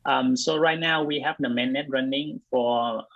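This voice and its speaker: A man's voice: mid-range voice, fairly even intonation, a little slow, fairly bright tone, dry recording. Hesitant Vietnam-born man, dry lo-fi sound. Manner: hesitant, many fillers and restarts. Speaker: blockchain company co-founder and CTO, guest. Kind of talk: casual interview answers.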